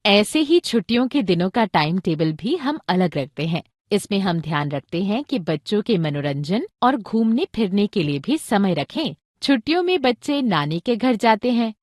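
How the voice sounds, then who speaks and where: A woman playing a practical, caring mother who explains things clearly and expressively in an educational drama. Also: mid-range voice, very animated, normal speed, hardly any pauses, a little mellow, no echo, clean studio sound. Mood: earnest, instructive. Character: practical, caring Indian mother explaining parenting to her husband. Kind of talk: scripted educational audio drama dialogue.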